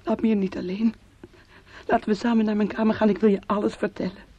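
A woman's voice: mid-range voice, flat delivery, fast talker, natural voice. An actress in a radio play, playing an anguished young woman who speaks in an intimate, imploring way of love and fear. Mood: pleading. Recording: dull old radio play recording.

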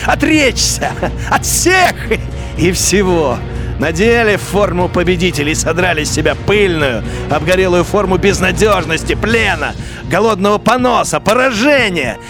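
A bitter turncoat officer. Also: male voice, sits high. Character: bitter, haunted male turncoat officer, once a starving prisoner. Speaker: character in a radio play. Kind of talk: radio drama inner monologue. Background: music.

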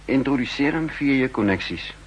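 An actor playing a shrewd, calm spymaster in a 1960s radio play, speaking in a measured, deliberate way. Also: male voice, mid-range voice, lo-fi sound, natural voice. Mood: cool, calculating. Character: shrewd, calm male spy posing as counterintelligence chief. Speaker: lead character and narrator. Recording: hissy, humming 1960s radio recording.

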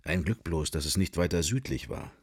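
A man's voice: low voice, normal speed, husky, no echo, studio quality. An actor playing a middle-aged man musing wryly to himself in clipped, wandering thoughts in a literary radio play. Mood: wry, amused.

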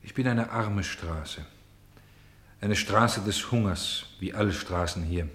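An actor playing a solemn narrator in a German radio play, speaking with measured declamation. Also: male voice, sits low, a bit bright, echoey room. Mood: solemn, sorrowful. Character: narrator turned player, voicing cities, streets and houses.

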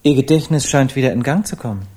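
A polite Parisian man of the arts in a radio play. His tone is dark and relaxed. He speaks formally and deliberately, in a matter-of-fact manner. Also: mid-range voice, breathy edge. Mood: measured. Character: polite, measured Parisian artist recounting a friend's past. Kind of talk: German radio play acting.

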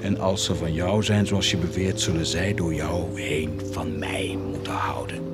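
An actor playing a domineering, tormented military captain in a Dutch radio play, theatrical and intense. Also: male voice, low voice, fairly even intonation, measured pace, loud music behind the voice. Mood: hushed, intense. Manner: theatrical, swinging from hushed intensity to shouting.

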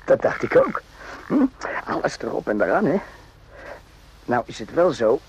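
Chatty randy young army lad, jokey rambling, voice swoops around, lo-fi old radio drama. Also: male voice, medium pitch, wildly swooping pitch, measured pace, slightly rough, exaggerated voice. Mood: earnest, confiding. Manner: rambling, jokey, eager, confiding. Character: chatty, naive, randy young army soldier, former circus hand. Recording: muffled lo-fi old radio recording.

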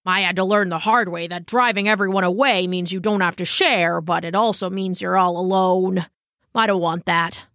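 A woman's voice: on the low side, fairly even intonation, normal speed, a bit bright, dry recording, studio quality. A woman voicing a humble, earnest old male dog in a storybook, speaking hesitantly and sincerely. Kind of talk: storybook character voice.

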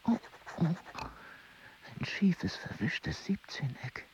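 An actor playing an obsessive mathematician in a radio play who whispers and murmurs to himself in wonder. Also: male voice, a little high, sing-song, slow pace, lo-fi sound, slight background noise. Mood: awed. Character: obsessive, excitable male mathematician. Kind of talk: radio drama acting.

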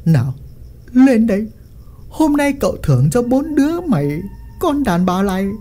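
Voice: mid-range voice, very animated, normal speed, warm tone, voice pushed up. A storyteller voicing a cruel, arrogant young master who barks orders at his servants. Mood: gloating. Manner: bossy, barking orders.

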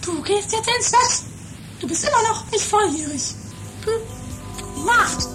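A woman's voice: very high-pitched, lively intonation, slow pace, over loud music, exaggerated voice. A woman playing a domineering, melodramatic mother in an improvised comedy radio play, theatrical and bossy. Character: shrill, domineering, melodramatic mother of a teenage son. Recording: noisy amateur 1980s tape.

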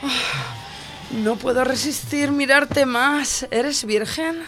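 A woman's voice: sits high, slow, a bit bright. A woman playing a bold seductress in an audio drama, coaxing and breathless as she pursues a shy youth. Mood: breathy desire. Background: music.

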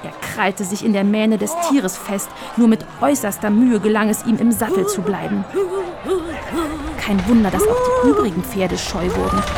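A woman narrating a children's radio play in a lively storytelling manner. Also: mid-range voice. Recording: crowd noise and music behind the narration.

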